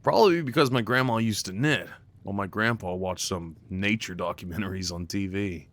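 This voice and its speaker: A man's voice: deep voice, a little fast, fairly bright tone. A man playing an affectionate, playful boyfriend in an intimate ASMR roleplay, talking tenderly and rambling with light teasing. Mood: relaxed, rambling. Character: affectionate, playful, crafty boyfriend cuddling his partner.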